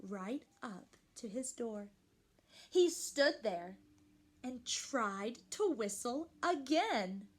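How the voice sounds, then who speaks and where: High pitched lady doing storytime for little kids, super animated, takes it slow and asks the listeners stuff. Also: slightly rough. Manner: animated, slow and deliberate, addressing young children. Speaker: storyteller reading a picture book aloud to children. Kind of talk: expressive children's read-aloud with questions to listeners.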